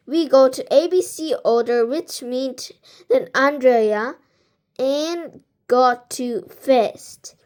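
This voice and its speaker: A woman reading a children's storybook aloud in a halting way, stumbling over some words. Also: high-pitched. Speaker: amateur book reader.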